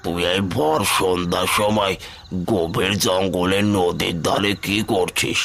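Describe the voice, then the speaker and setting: A man's voice: deep voice, a little slow, gravelly, fairly bright tone, full character voice. A man playing a kindly old forest hermit in a cartoon, speaking like a fatherly adviser who gives blessings. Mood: benevolent, solemn. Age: older.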